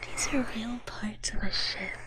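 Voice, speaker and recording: A woman streaming ASMR in a soft, breathy whisper, chatting casually and playfully with viewers up close to the microphone. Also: a little high, fairly even intonation, measured pace. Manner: playful, speaks of self in third person. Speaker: ASMR livestreamer.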